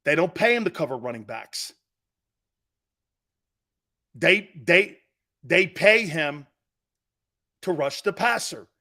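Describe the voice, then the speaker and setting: Lively, bright-voiced middle-aged man. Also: a little high, slow, pauses often. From Italian-American family. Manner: joking, rambling, laughs often. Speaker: sports talk show host. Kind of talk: casual live sports talk.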